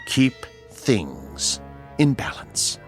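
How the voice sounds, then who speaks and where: An actor playing a mysterious male stranger who speaks in a slow, measured and formal way in a radio drama. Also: medium pitch, sing-song, faint music behind. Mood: coaxing.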